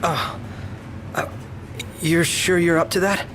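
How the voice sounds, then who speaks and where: Higher-voiced, boyish young male companion. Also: steady tone, slow pace, fairly bright tone, slight background noise. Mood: concerned, uneasy. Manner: casual, boyish, hesitant. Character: friendly, light-hearted, slightly nervous young man, party companion. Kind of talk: video game acting.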